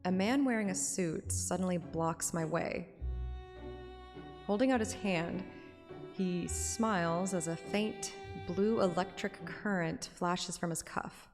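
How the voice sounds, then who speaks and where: Girl streamer reading a game story like an audiobook, kinda low voice, echoey with music behind. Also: slow. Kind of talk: audiobook-style narration with casual asides. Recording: reverberant, music under the voice.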